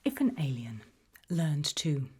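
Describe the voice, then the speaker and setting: A very breathy, whispering British woman, acting as a calm investigator in an ASMR interview roleplay. Her voice is low and mellow, and she puts gentle, probing questions with measured pauses. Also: heavily acted. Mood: quiet, probing.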